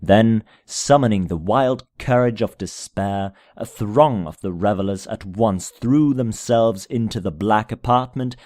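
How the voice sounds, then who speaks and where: A man narrating a gothic tale as a dramatic reading, in a solemn, measured manner with deliberate phrasing. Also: lowish voice, darkish tone, dry recording, studio quality. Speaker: amateur audiobook narrator.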